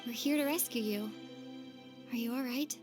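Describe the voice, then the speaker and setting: A woman playing a caring, calm young traveler heroine in a video game cutscene. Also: a little high, moderate pace, faint music behind, natural voice. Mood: gentle.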